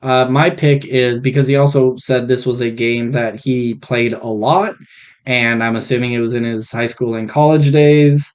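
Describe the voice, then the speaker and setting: A man with a medium-pitched voice co-hosts a podcast. He riffs in a casual, jokey, rambling way, and the microphone sounds rough and faulty. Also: steady tone, moderate pace, darkish tone, muffled recording. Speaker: podcast co-host.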